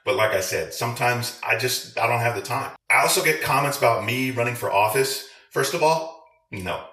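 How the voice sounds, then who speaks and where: A man's voice: mid-range voice, moderate pace, bright, forceful tone, echoey room. A man delivering a profane, sarcastic rant to his viewers as a veteran and video creator. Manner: crude, sarcastic, swearing.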